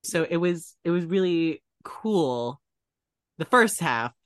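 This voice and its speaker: A man co-hosting a podcast in casual chat, talking in a halting way and often restarting his phrases. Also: on the high side, lively intonation, a bit bright, no echo, studio quality. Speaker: podcast co-host.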